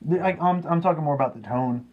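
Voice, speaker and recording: Higher-voiced man, hesitant casual review co-host. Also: quick pace, narrow, tinny audio.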